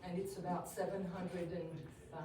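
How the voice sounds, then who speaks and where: A middle-aged woman from Brittany with a French accent, a native French speaker, giving an informal artist talk in halting, simple English with wry asides. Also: fairly low pitch, level tone. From Brittany, France. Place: indoor gallery, recorded from a distance. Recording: echoey, noisy gallery room, distant mic.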